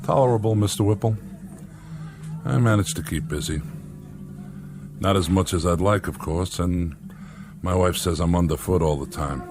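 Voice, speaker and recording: A man's voice: sits low, moderate pace, long pauses, over music. An actor playing an easygoing older retired man in a science-fiction drama, deferential and plain-spoken. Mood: mild, resigned. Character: easygoing, mild retired male company employee. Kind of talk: classic science-fiction radio drama acting.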